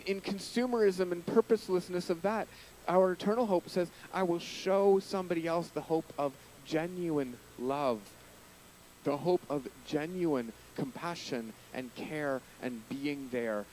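A man in his thirties preaching a sermon into a handheld mic in a small church hall, earnest, lifting his voice on key points and dropping low at phrase ends. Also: darkish tone, a little hiss or hum. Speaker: pastor. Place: church hall with curtains, small indoor stage.

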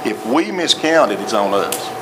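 A man's voice: fairly low pitch, big pitch swings, a little slow. A man with a Tennessee accent giving a plainspoken report to a city council, repeating himself as he explains. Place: council chamber with audience. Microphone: table microphone. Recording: noisy council chamber recording.

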